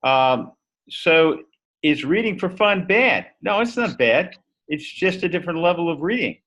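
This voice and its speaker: A man's voice: fairly high pitch, animated, a little slow, a bit bright. A man teacher giving a thoughtful, explanatory answer in an online discussion over a video call.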